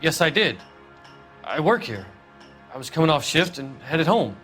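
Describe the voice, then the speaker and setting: Casting: odd, creepy American suspect, higher voice, lively swings, prissy and defiant, game drama with music behind. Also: male voice, normal speed. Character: creepy, defiant, odd man, a rail yard worker suspect. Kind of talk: video game dramatic acting.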